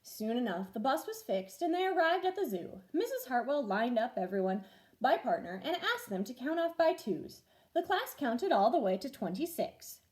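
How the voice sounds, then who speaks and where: A woman with a fairly high voice reads a picture story to young children. Her delivery is expressive and very animated, with the clear phrasing of a primary teacher. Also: normal speed. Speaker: first grade teacher reading stories aloud. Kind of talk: children's storybook read-aloud.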